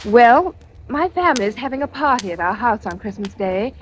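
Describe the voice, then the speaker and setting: A woman's voice: on the high side, expressive, a little fast, close to an everyday voice. An actress playing an eager, kind-hearted young woman in 1940s radio drama, chatty and tentative in her asides. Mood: bright, excited. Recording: noisy old radio broadcast.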